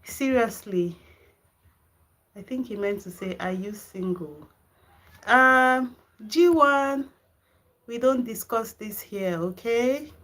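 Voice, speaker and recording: A woman's voice: a little high, slow, pauses often, a bit bright. A Nigerian woman hosting a gardening live stream, chatting casually with viewers and answering their comments. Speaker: gardening channel host. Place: home garden and kitchen.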